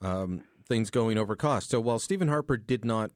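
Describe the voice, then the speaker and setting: A man running a call-in talk radio show, his voice sitting on the lower side. He talks in a relaxed, chatty way and laughs easily. The studio sound is close and dry. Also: normal speed, dry recording.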